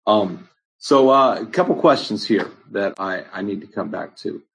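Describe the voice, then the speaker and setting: Man pastor, husky voice, loose conversational Bible teaching, lots of ums. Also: fairly low pitch, expressive, moderate pace, a bit bright. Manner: conversational, many ums and restarts. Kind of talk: informal Bible study answering questions.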